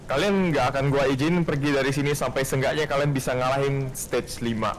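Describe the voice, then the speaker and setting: A man's voice: mid-range voice, steady tone, brisk, a loud crowd, natural voice, low-quality recording. An Indonesian man voicing a confident, demanding dragon mentor in an amateur game roleplay. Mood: firm, approving. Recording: music and rain-like sound behind.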